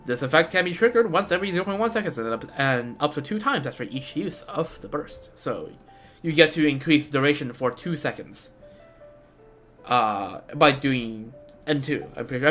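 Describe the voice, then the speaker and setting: A man's voice: fairly high pitch, animated, a little fast, fairly bright tone. Young man rambling over game music. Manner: rambling, thinking aloud. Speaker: game streamer. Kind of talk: casual live game stream commentary.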